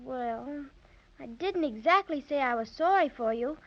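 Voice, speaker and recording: A performer playing a soft-hearted, polite, gently chiding little girl in a 1930s radio play. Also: high voice, animated, measured pace, dry recording. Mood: gentle. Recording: dull, dry 1930s radio recording.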